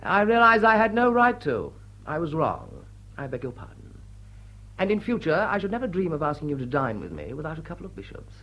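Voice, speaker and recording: An actor playing a dashing playboy lord in a period radio drama, florid, mock-pompous and emphatic, with a husky voice. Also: male voice, a little high, very animated, brisk, bright, forceful tone, heavily acted, poor audio. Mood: contrite. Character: dashing upper-class English playboy lord, gallant and theatrical.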